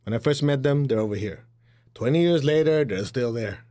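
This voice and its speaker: A man's voice: mid-range voice, lively intonation, moderate pace, dark tone. Blunt middle-aged man, Chinese accent. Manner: blunt and direct, acts out quoted voices, laughs at own jokes. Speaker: spiritual teacher. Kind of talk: motivational spiritual talk.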